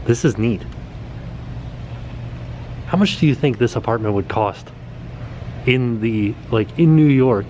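A man in his forties talks at an average pitch while he walks a camera around a small indoor set. He sounds eager and keeps pointing out small details. A faint air conditioning hum sits under his voice. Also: a little slow, pauses often. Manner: excited, pointing things out. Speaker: vlogger. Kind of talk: casual walkthrough vlog. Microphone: camera held at arm's length.

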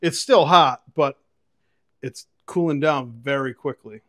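Higher-voiced man, enthusiastic product reviewer. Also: unhurried, fairly bright tone. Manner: enthusiastic, conversational. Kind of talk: casual product review to camera with demonstration. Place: home kitchen, indoors. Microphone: clip-on lapel mic.

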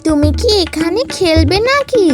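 A woman's voice: very high-pitched, lively intonation, measured pace, loud music behind the voice. A woman voicing a small, meek and protective mother bird in a cartoon, polite and pleading. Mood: apologetic, worried. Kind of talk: cartoon voice acting.

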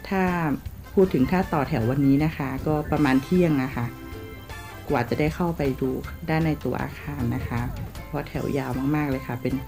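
A woman from Thailand narrating a casual travel vlog, polite and chatty, with soft endings on her sentences. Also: sits low, vocal fry. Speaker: travel vlogger. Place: outdoors in a city, partly on a tram.

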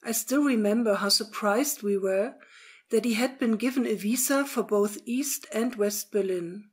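Husky woman recalling the past. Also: on the high side, measured pace, darkish tone. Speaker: eyewitness recalling memories. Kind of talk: first-person recollection.

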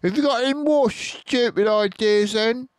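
A man's voice: very high-pitched, a little slow, smooth, no echo, pitched above the performer's own voice. A man doing a snide little dwarf farmer. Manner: snide, shouting.

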